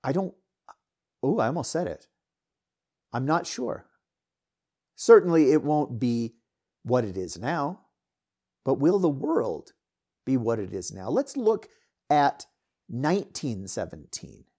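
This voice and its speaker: A middle-aged American man, a podcast host and writer, talking alone in a rambling, candid way, his loudness rising and falling a lot. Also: medium pitch, very animated, slow pace, pauses often, slightly rough. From United States. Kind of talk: casual solo talk.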